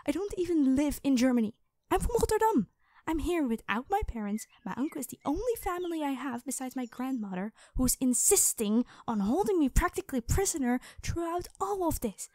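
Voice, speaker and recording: Woman acting a high, soft-toned, distressed young woman. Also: big pitch swings, no room sound. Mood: desperate, insistent. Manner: hesitant, halting, pleading. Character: distressed, stubborn young woman pleading for her uncle. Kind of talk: cinematic audio roleplay acting.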